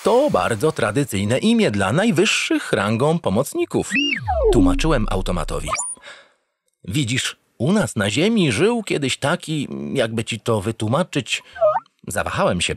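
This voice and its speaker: Wildly animated, pompous male starship captain. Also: medium pitch, unhurried, husky. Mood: excited, earnest. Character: naive, self-satisfied, doting male spaceship captain. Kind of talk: comic first-person radio drama narration.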